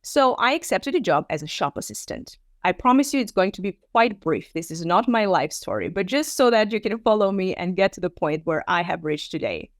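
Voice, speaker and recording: A woman in her thirties, raised in Armenia with Armenian as her first language, gives a conversational online presentation. She has a middle pitch and often acts out quotes. The sound is dry and close. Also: fairly quick, hardly any pauses, dry recording. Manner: conversational, animated, with frequent fillers and acted quotes. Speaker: teacher trainer.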